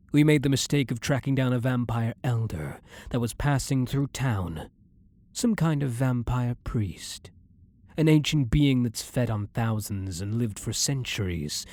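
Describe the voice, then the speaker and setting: A man's voice: mid-range voice, a little mellow. A man playing a centuries-old vampire hunter who is himself a vampire, blunt and brooding, in a dramatic ASMR roleplay monologue. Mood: grim, regretful.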